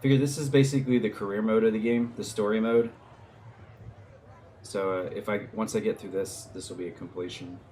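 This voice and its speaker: A man's voice: on the low side, steady tone, fairly quick, darkish tone, a little hiss or hum. A man hosting a let's play, chatting and joking casually over the gameplay. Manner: joking, chatty asides to a friend.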